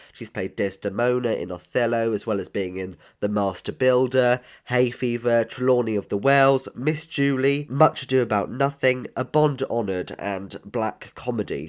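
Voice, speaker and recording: A man hosting a tribute podcast, nasal and a bit bunged up with a cold, earnestly listing credits with enthusiasm. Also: lowish voice. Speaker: podcast host. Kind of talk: scripted tribute podcast narration.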